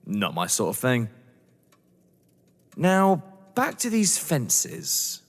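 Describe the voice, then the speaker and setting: A man's voice: mid-range voice, wildly swooping pitch, extremely slow, exaggerated voice. An actor playing a sceptical, blunt young man, a wary lover, in an audio drama. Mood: dismissive. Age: young adult. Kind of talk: theatrical audio drama acting. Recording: echoey hall-like reverb.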